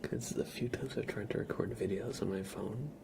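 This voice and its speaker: A man streaming ASMR, chatting casually to viewers in a soft, breathy whisper with a slightly stuffy nose. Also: lowish voice, normal speed, background noise. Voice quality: breathy whisper with a creaky edge. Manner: soft-spoken, rambling, casual.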